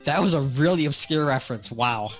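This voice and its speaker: A man's voice: mid-range voice, lively intonation, fairly quick, soft background music. A young man co-hosting a casual video game chat, cracking sarcastic jokes. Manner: joking, sarcastic quips. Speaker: co-host.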